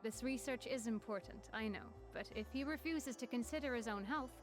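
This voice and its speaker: An actress playing a composed, formal woman in a game cutscene, measured in speech and quietly caring. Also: a little high, close to an everyday voice. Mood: gently resolute. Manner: measured, formal, archaic wording. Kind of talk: dramatic video game cutscene acting. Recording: music behind the voice.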